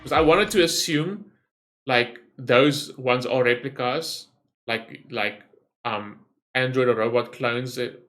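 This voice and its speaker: A man comments on a game video in a casual, excited way, rambling and circling back on his thoughts. His voice sits at an ordinary pitch, with faint music underneath. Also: slow pace. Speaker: gaming video host.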